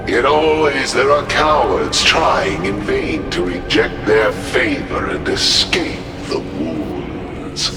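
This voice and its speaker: An actor playing a fanatical video game villain who preaches annihilation in a grandiose, menacing, theatrical way. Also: male voice, low voice, expressive, takes it slowly, hardly any pauses. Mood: zealous, menacing. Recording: distant echoing voice under loud music.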